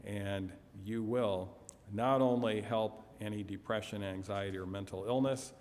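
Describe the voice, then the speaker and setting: A man giving a health lecture and answering audience questions, measured and trailing off softly at phrase ends. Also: on the low side, a little hiss or hum. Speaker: health seminar speaker. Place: church hall. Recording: echoey hall.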